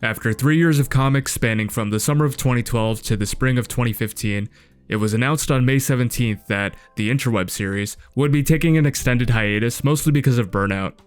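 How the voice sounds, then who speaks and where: A man's voice: medium pitch, brisk, smooth. A man giving casual scripted commentary as the narrator of a video review. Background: music.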